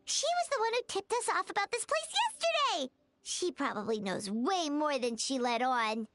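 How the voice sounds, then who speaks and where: A tiny game fairy with a very high, piercingly bright, childlike squeak. She is bubbly and bossy, exclaiming and chattering with big swoops in pitch. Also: sing-song, moderate pace, slight background noise. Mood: excited. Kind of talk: animated video game voice acting.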